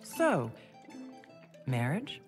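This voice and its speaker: A woman playing a composed, caring female warrior hero in a video game, speaking in a measured, gently probing way. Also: very deep voice, huge pitch swings, over loud music, exaggerated voice. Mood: tender, resolute. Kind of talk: video game cutscene acting.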